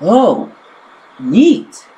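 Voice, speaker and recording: A woman's voice: medium pitch, big pitch swings, drawn-out words, mellow, slightly rough, highs cut off, exaggerated voice. A woman voicing an easygoing young male cartoon hedgehog in a casual, questioning way. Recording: game music behind the voice.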